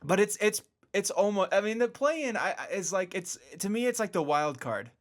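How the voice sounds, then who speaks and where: A man hosting a casual sports and entertainment podcast, excitable, often stammering and repeating words as he goes. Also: high-pitched, normal speed. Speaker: podcast host.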